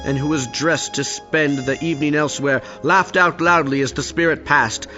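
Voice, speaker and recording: A man's voice: fairly high pitch, steady tone, normal speed, pushed and bright. A man narrating a Victorian story as a scripted audio play. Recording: dull lo-fi audio with music behind.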